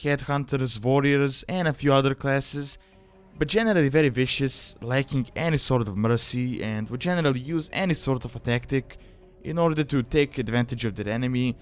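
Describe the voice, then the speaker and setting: Casting: male tutorial narrator, mid-range voice, informal and conversational, explaining things casually. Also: mid-range voice. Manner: informal, conversational, hedging phrases. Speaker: video guide presenter.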